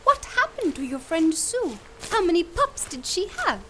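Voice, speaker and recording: A woman's voice: very high-pitched, sing-song, normal speed, bright, forceful tone, a little hiss or hum, full character voice. A woman playing a quirky, cheerful amateur naturalist with a sing-song, whimsical way of talking.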